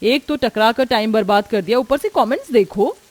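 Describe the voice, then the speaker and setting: Woman doing a sassy young modern bride, high-pitched. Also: lively intonation, a little fast. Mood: annoyed. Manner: cheeky, defiant. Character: brash, sassy young modern daughter-in-law. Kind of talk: Hindi moral-story cartoon voice acting.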